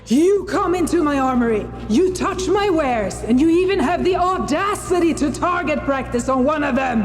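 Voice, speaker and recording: A woman's voice: high voice, animated, normal speed, music in the background, voice pushed up. A woman voicing a furious, threatening male archangel armorer in an audio drama. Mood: furious, menacing. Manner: stern, threatening, commanding. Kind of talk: audio drama character acting. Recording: echoing hall with long reverb.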